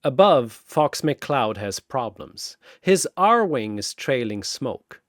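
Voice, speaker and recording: A man's voice: medium pitch, sing-song, slow, fairly bright tone, dry recording, studio quality. A man narrating a scripted battle story dramatically, in theatrical, short, punchy phrases.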